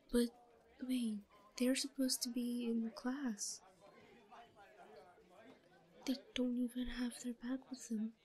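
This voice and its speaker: A woman playing a shy, obsessive, secretly scheming schoolgirl in a roleplay audio drama, muttering to herself in a breathy voice that often drops to a whisper. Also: little pitch movement. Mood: anxious, curious. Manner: hushed muttering to herself, halting. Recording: low-quality recording with faint crowd murmur.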